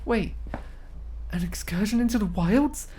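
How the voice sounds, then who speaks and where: A woman's voice: medium pitch, lively intonation, measured pace, mellow, a little hiss or hum, voice pushed up, natural voice. A woman playing an anxious young trainee scholar in a fantasy audio drama, stammering, hesitant and apologetic. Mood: flustered, alarmed.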